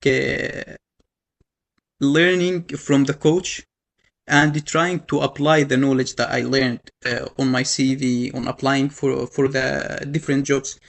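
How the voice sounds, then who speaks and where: A young man from Yemen telling his own story to an audience, halting, with many fillers and restarts. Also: on the high side, creaky, a bit bright. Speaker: panel speaker. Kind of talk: personal story told to an audience.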